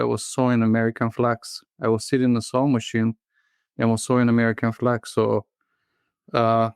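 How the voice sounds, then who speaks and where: A man in his thirties from Bulgaria with a Bulgarian accent, a guest in a casual interview who talks in a halting way, full of fillers and restarts. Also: mid-range voice, clean tone, no echo, studio quality. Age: 30s.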